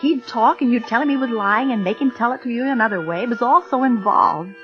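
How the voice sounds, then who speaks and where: A woman's voice: fairly high pitch, fast talker, natural voice. An actress playing a flustered, chatty secretary who tells her story in old radio suspense style. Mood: earnest, brisk. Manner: conversational, expressive storytelling. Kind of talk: 1940s-50s American radio suspense acting. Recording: muffled vintage radio recording, music behind.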